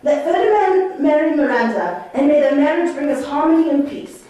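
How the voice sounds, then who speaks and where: An imperious ageing sorcerer, played by a woman in a stage play, heard far off in a very echoey room. The voice is high, and the delivery is commanding, scornful and declamatory. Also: moderate pace, warm tone, lo-fi sound, lightly acted. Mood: lordly. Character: imperious older male sorcerer master, scornful father. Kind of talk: theatrical stage dialogue.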